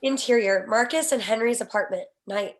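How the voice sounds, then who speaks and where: Woman narrating a script at a table read, kinda high voice, scene headings and action lines. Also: moderate pace. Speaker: stage direction reader.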